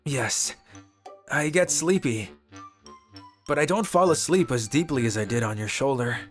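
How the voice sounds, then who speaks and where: A woman plays a shy, sleep-starved teenage boy from a manga dub, pitched low for her and speaking softly with little hesitations. Faint music plays behind him. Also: expressive, unhurried. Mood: shy polite. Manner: soft-spoken, hesitant.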